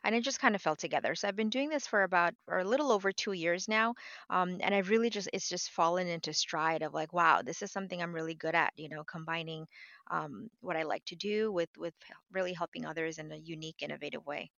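A woman's voice: on the low side, fast, creaky, a bit bright, no echo. A young woman from Bangladesh who grew up in New York, talking candidly as an interview guest, with lots of fillers and restarts. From born in Bangladesh, raised in New York City. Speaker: guest, health insurance advisor. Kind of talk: casual interview talk. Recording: dull lo-fi audio.

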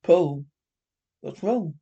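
A husky-voiced reader playing a worried husband who speaks in a plain, reassuring way. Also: mid-range voice, expressive, drawn-out words, dark tone, highs cut off, heavily acted. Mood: concerned. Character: worried husband searching for his wife.

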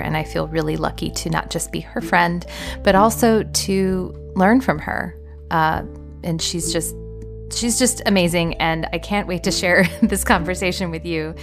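A woman hosting a podcast, introducing and interviewing a guest, halting often with fillers. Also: lowish voice, fairly even intonation, creaky, faint music behind. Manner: warm, halting with many fillers. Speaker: podcast host.

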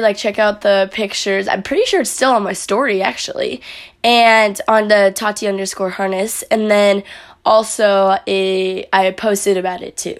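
A young woman with a raspy, hoarse voice from a week of coaching, chatting through a rambling recap vlog with lots of fillers. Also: mid-range voice. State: hoarse from yelling while coaching. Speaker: vlogger and athlete.

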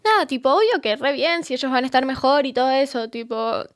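An actress with an Argentine accent playing an anxious teenage daughter who talks in hesitant slang in an audio drama. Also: female voice, sits high, lively intonation, a little fast. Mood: uneasy, downcast. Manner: hesitant, filler-heavy teen slang. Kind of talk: naturalistic audio drama dialogue.

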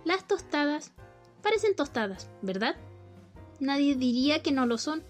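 A young Chilean woman plays a bored young wife, high-voiced and theatrical, swinging between wry musing and mock grandeur. Faint music plays beneath her radio-play monologue. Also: sing-song, measured pace. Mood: sly scheming. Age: young adult. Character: young bored wife plotting against husband. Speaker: student actor. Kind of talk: student radio drama acting.

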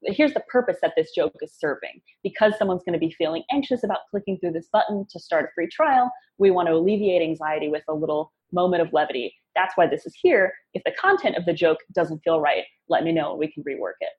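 A woman guest who writes conversion copy, talking in a casual, upbeat way with quick asides and self-deprecating humor. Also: on the low side, lively intonation, highs cut off. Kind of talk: casual interview talk.

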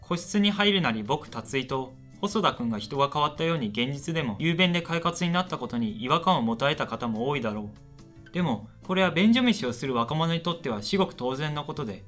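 Man playing a bright-voiced, eager male student. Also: a little high, lively intonation, fairly quick, soft background music. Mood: earnest narration. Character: shy lonely male university student, eager and chatty. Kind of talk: radio drama dialogue and first-person narration.

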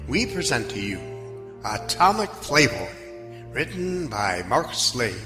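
A man playing a solemn storytelling host, introducing a radio drama with dramatic, deliberate delivery. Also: medium pitch, sing-song. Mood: solemn intrigue. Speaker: host and narrator. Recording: long reverb with music underneath.

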